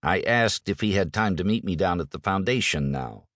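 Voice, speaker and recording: A man's voice: low voice, expressive, normal speed, fairly bright tone, no echo, clean studio sound. A man reading a first-person audiobook in a wry, conversational manner. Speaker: audiobook narrator.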